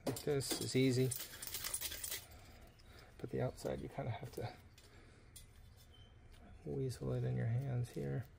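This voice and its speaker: A man's voice: medium pitch, level tone, takes it slowly, creaky, pauses often, warm tone, slight background noise. A man giving a casual hands-on how-to, thinking aloud informally as he works with tools on camera. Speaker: DIY video maker demonstrating a build.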